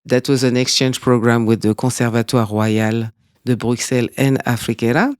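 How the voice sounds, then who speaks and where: A man with a French accent speaking as a guest in an interview, talking in a measured, reflective way. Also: mid-range voice, steady tone, a little mellow, clear, resonant tone, no echo, studio quality. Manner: measured, reflective, with frequent "you know". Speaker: guest, dance school director. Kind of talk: conversational interview.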